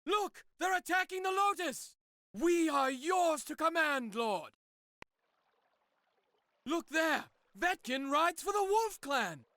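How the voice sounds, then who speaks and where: An actor playing a resentful, excitable peasant townsman in a video game. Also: very high voice, slow pace, long pauses, dry recording, clean studio sound, heavily acted. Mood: excited, shouting. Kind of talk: video game cutscene acting.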